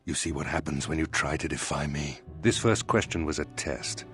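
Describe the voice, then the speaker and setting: An actor playing a cold, sadistic admiral interrogator in a video game, speaking with formal, deliberate menace. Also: male voice, a bass voice, fairly bright tone, husky, no room sound, soft background music, full character voice. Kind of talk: dramatic video game villain acting.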